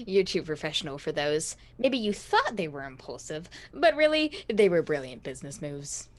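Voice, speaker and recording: Woman playing an eager, excitable teenage boy intern. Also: low voice, moderate pace. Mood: earnest. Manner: gushing, earnest, excitable. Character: eager, innocent fifteen-year-old boy, starstruck radio intern. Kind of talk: scripted audio comedy acting.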